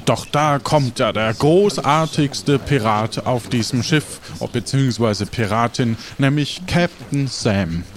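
A man's voice: medium pitch, slight background noise. A man hosting and narrating an improvised audio adventure as game master. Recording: music bed under the voice. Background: music.

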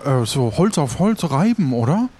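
Naive, easily amazed castaway pirate played by a man, highish voice, stumbles over his phrases, audio adventure, noisy sound. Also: sing-song, warm tone. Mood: hesitant, curious. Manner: halting, repeats and trails off. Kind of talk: improvised audio adventure play.

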